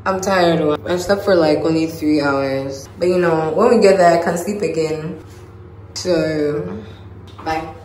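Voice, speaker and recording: A woman's voice: low-pitched, a little slow, a little mellow, slight background noise, rough recording. A young woman from Ghana chatting casually to her phone camera in a travel vlog, loose and a little tired, with music underneath. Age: young adult. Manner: chatty, laughing, tired morning talk. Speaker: vlogger. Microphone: phone camera held close.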